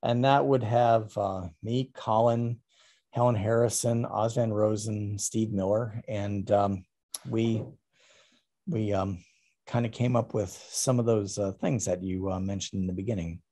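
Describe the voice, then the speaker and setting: A middle-aged man talking casually about his artwork on a video call, halting with many ums and restarts. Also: fairly low pitch, steady tone, warm tone. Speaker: artist presenting his work. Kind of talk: casual talk over a slide presentation.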